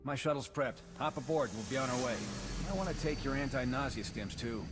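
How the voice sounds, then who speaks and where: An actor playing a brisk, informal shuttle pilot in a video game. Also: male voice, mid-range voice, animated, noisy background, natural voice. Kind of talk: video game cutscene acting.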